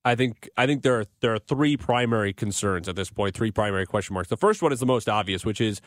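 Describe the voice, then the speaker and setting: A man hosting a live sports radio show, talking through soccer in a measured, analytical, articulate way. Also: medium pitch, fairly bright tone, dry recording, studio quality.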